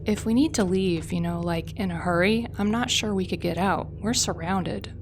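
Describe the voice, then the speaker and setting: A woman's voice: mid-range voice, normal speed, natural voice. Woman doing a wary female squad officer, casual and hesitant, faint music under.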